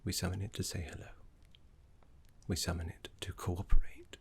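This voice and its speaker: A man voicing a gentle, erudite necromancer teacher in a fantasy ASMR roleplay, speaking in a breathy half-whisper with refined, reassuring deliberation. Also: deep voice, little pitch movement, moderate pace. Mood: earnest, reverent.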